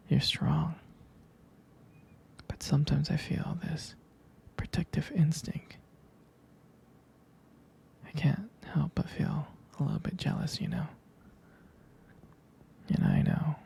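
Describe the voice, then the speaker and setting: A man playing a tender, jealous, devoted boyfriend in an intimate ASMR roleplay, speaking haltingly in a breathy, husky whisper. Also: on the high side, warm tone, heavily acted. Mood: jealous, hesitant. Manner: hesitant, intimate, halting delivery.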